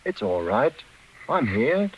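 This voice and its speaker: A man's voice: medium pitch, big pitch swings, slow pace, husky, full character voice. A man acting an earnest, plain-spoken young village bridegroom in a 1940s radio drama. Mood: tender. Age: young adult. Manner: earnest, straightforward. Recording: muffled 1940s radio recording.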